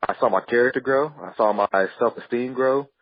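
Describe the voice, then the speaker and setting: A man's voice: medium pitch, brisk, highs cut off. A man telling his story earnestly as a podcast guest, sometimes restarting his words. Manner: earnest, with some repeated starts. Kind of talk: storytelling interview answers.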